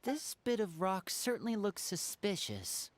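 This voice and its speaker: A man's voice: high-pitched, sing-song, measured pace, slight background noise. A young performer voicing a confident young male magician who speaks in a light, assured, persuasive way.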